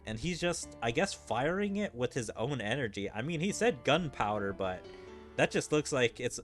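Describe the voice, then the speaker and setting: A man's voice: medium pitch, very animated, normal speed, faint music behind. A man streaming a game and giving casual commentary in rambling, hesitant explanations peppered with filler words. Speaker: gaming streamer.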